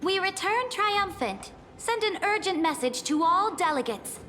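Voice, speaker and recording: A woman's voice: very high voice, expressive, a little slow, bright, forceful tone, full character voice. A woman playing an imperious, conquering empress in a video game, haughty and commanding in a grand, formal, declamatory way. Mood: regal, composed. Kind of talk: dramatic video game voice acting. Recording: long hall reverb, music behind.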